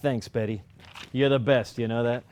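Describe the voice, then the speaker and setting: A man acts out a 1950s working husband in a staged play reading. He is easygoing and folksy, quick to joke. A slight insecurity sits under the banter. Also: mid-range voice, steady tone, a little mellow, smooth, natural voice. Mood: jovial, coaxing. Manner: joking, folksy.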